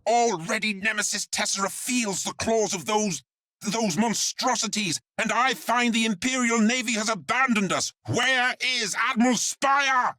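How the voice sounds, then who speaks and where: A woman playing an anxious, indignant high church official in a video game, formal and declamatory. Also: a little slow, bright, forceful tone, no echo. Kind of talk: video game cutscene acting.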